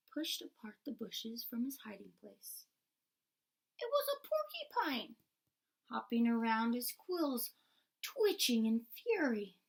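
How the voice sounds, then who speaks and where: A woman reading a children's story aloud as an expressive storyteller. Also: fairly high pitch.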